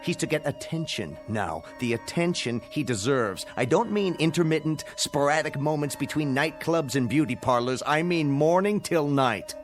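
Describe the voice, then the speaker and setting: An actor in a radio drama playing a stern, vengeful scientist with a measured, formal, sardonic delivery. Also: male voice, fairly high pitch, expressive, natural voice. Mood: scornful, stern. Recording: music bed under the voice.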